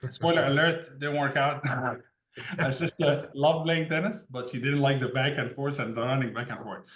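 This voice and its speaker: A man's voice: mid-range voice, fairly even intonation, normal speed, a bit bright. A man from Egypt, a tech founder telling his story as an interview guest in an easygoing way, often laughing between sentences. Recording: roomy echo.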